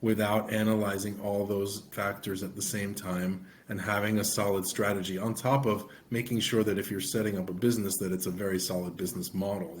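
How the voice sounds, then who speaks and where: Male co-host, middle-aged lawyer, steady and explanatory, flat low delivery. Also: slight background noise. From United States. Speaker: immigration attorney and podcast co-host. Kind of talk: podcast conversation.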